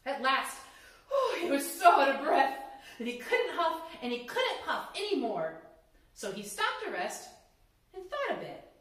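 A young woman reads a children's story in a high, very animated voice with theatrical emphasis. She is recorded in a strongly echoing room, some distance from the microphone. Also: measured pace. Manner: theatrical, expressive, emphatic delivery. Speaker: library storyteller, reader. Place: indoor room before folding screen. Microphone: camera microphone a few metres away. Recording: echoey room, distant mic, background noise.

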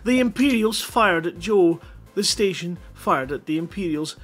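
A man's voice: high-pitched, animated, normal speed, fairly bright tone, faint music behind. A playful man hosting a live stream, reading short stories aloud and bantering casually. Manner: playful, jokey. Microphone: headset microphone.

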